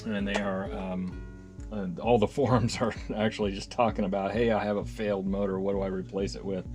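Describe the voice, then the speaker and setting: A man with a lowish voice presents gear in a loose, unscripted way. He is enthusiastic but rambles, mutters asides and corrects himself mid-sentence. Faint music can be heard behind him. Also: level tone, a little fast, a little mellow. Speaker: hobbyist video host and reviewer. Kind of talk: casual unscripted gear demonstration.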